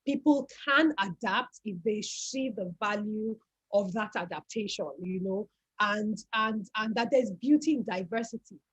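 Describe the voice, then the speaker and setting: A woman's voice: mid-range voice, a little slow, husky. A woman with a Nigerian accent, speaking as a guest on an online talk and telling her family's story reflectively, often repeating words as she searches for them. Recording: dull lo-fi call audio.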